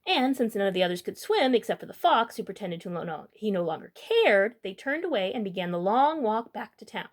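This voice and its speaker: A woman's voice: mid-range voice, very animated, a little fast, hardly any pauses. A woman hosting a storytime, reading a children's book expressively and adding informal asides. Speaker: storytime host reading aloud.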